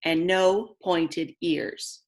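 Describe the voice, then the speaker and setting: A woman's voice: fairly low pitch, steady tone, extremely slow. A woman voicing an eager, pleading young boy in a children's storybook, speaking in short, earnest declarations. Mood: earnest, insistent. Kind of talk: children's read-aloud character voice.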